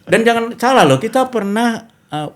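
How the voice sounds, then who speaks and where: A man's voice: very high voice, expressive, moderate pace. Indonesian man hosting a casual talk show. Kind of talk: casual conversational interview.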